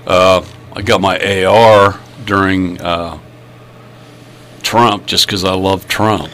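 Bright-voiced rambling male host. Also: deep voice, fairly even intonation, takes it slowly. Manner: rambling, joking, many fillers. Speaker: podcast host. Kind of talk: casual chat.

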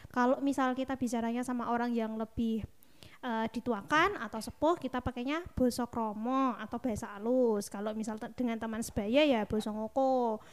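A woman's voice: a little high, steady tone, moderate pace. A woman with a Javanese accent, hosting a casual discussion and explaining things to listeners conversationally. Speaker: podcast discussion host.

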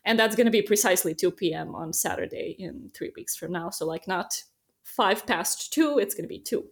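Woman, Serbian accent, casual podcast co-host, animated anecdotes. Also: on the low side, moderate pace. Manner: animated storyteller, laughs often, acts out quotes. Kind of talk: casual conversational chat. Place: lives in a small Dutch town.

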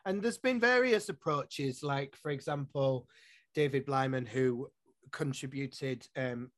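A man's voice: medium pitch, unhurried, fairly bright tone, no echo. A man from Yorkshire with a Yorkshire accent, a poet talking in an interview in a thoughtful, rambling way with frequent restarts. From Yorkshire, England. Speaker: poet and publisher. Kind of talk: interview conversation.

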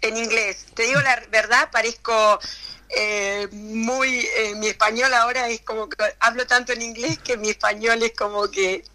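A woman with an Argentine Spanish accent answers questions in an easy, chatty way. Her voice is very bright and bright-edged. She often hesitates, trailing off and starting again. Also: on the high side, steady tone, normal speed, poor audio. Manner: hesitant, many fillers and restarts. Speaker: interview guest. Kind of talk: casual conversational interview.

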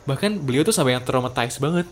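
A man's voice: medium pitch, lively intonation, quick pace. A man, chatting casually, slipping English phrases into Jakarta slang. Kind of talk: casual chat.

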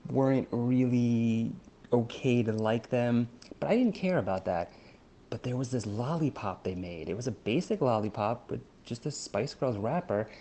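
A young man calmly telling a self-deprecating childhood anecdote. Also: mid-range voice, a little hiss or hum. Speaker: guest. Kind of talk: casual personal storytelling.

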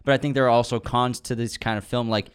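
Chatty, excitable man with a smooth voice. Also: mid-range voice. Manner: excitable, chatty, quick to laugh; lots of fillers and restarts. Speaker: podcast co-host. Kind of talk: casual chat about movie news.

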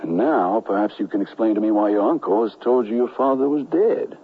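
An actor playing a cautious, gentlemanly conspirator in a western radio drama, speaking in a measured, formal and earnest way. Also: male voice, on the low side, breathy edge. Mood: guarded, courteous. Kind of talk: radio drama acting with first-person narration. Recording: muffled, narrow-band 1970s radio broadcast.

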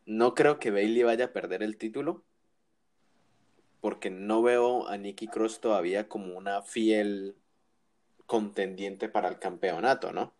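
A man's voice: fairly low pitch, measured pace, darkish tone. A man co-hosting a casual wrestling chat, giving opinions with frequent restarts and tag questions. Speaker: wrestling podcast co-host.

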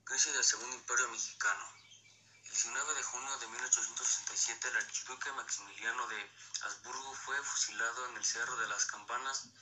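A man co-presenting scripted educational narration, reading aloud in a halting way with stumbles on words. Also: medium pitch, steady tone, bright, forceful tone, slight background noise, poor audio.

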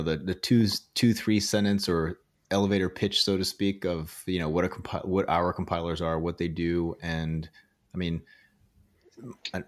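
A young man interviewing a colleague in a casual, rambling way, full of fillers and restarts. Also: low voice.

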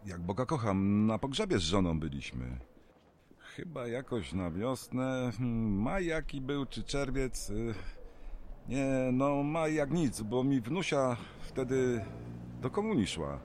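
A man's voice: medium pitch, very animated, takes it slowly, darkish tone, a little hiss or hum. An actor playing a gossipy, awkward elderly male neighbour, rambling and hesitant in plain folksy talk, in a radio drama. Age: older. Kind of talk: naturalistic radio drama acting.

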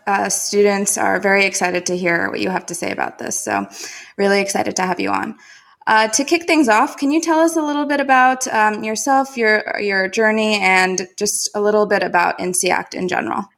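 A woman's voice: mid-range voice, moderate pace, creaky, a bit bright. Upbeat woman podcast host. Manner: upbeat, enthusiastic. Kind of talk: scripted introduction and interview questions.

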